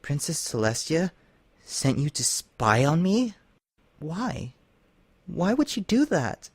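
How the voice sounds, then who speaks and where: A man acts out a young female unicorn in a storybook tale, paranoid and intense. The voice is lifted high and moves dramatically, full of demanding emotion, in a dry, close recording. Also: big pitch swings, takes it slowly, husky, no room sound, full character voice. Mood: hurt, disbelieving. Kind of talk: dramatic audiobook character reading.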